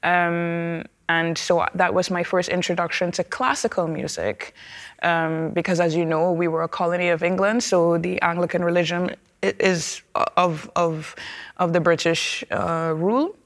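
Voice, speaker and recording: A woman with a Trinidadian accent talks in a relaxed interview, her voice sitting a little low. She speaks thoughtfully and often pauses on fillers and small restarts. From Trinidad and Tobago. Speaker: opera singer, guest. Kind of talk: conversational interview.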